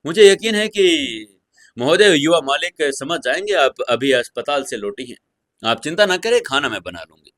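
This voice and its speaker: A man's voice: on the high side, animated, normal speed, fairly bright tone, dry recording. A man voicing a deferential female household helper in an audio story, polite and reassuring.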